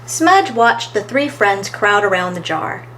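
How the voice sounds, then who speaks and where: A woman reading a children's story aloud as an expressive storytime narrator, recorded with faint background noise. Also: medium pitch, unhurried. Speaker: storytime reader.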